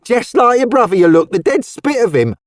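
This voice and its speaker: A man's voice: extremely high pitch, big pitch swings, fairly quick, no echo, studio quality, voice pushed up. A man voicing a cheerful, wizened little old Cockney charwoman who talks in a chatty, homely, motherly way.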